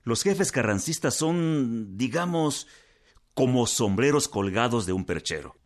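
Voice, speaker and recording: An actor with a Mexican accent playing a wry, deferential general who explains things deliberately in a radio drama. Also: male voice, medium pitch, lively intonation, lightly acted. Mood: wry, persuasive. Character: deliberate, wry general advising his commander with homely examples.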